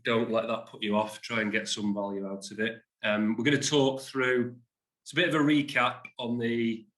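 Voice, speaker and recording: A man's voice: mid-range voice, flat delivery, moderate pace, a bit bright, roomy sound. A man presenting a business webinar, self-deprecating and hesitant with frequent fillers. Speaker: insolvency practitioner presenting a webinar.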